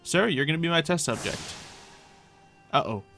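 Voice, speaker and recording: A man's voice: fairly high pitch, animated, normal speed, creaky, pushed and bright, soft background music. A man giving casual, improvised gameplay commentary in character, chatting to himself and thinking aloud as he goes. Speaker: gaming video host playing in character.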